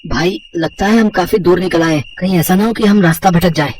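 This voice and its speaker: A man's voice: high voice, fairly quick, lightly acted. Horror audio story role: male actor as dismissive young student, joking slangy delivery, slightly distant with faint noise. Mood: casual banter. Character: mocking, carefree young male college student.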